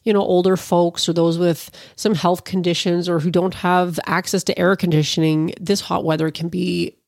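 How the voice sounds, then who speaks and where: A woman's voice: on the low side, flat delivery, moderate pace, vocal fry, darkish tone, no room sound, studio quality. A woman from Canada, a bit stuffy from allergies, chatting casually and rambling to her viewers about cooking. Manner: rambling, informal, with fillers and asides. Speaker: cannabis cooking vlogger.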